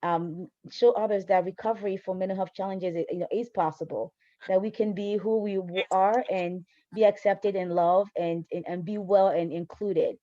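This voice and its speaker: A woman's voice: medium pitch, steady tone, moderate pace, warm tone, no room sound. A woman hosting a casual interview in an encouraging, affirming way, on a somewhat muffled recording. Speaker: interview host.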